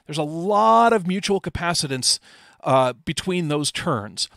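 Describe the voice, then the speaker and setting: A middle-aged man with a slightly high voice walks through technical material as an amateur presenter. He is plain-spoken and informal, explaining step by step. The sound is fairly dry, with little room echo. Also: animated, measured pace, gravelly, fairly bright tone.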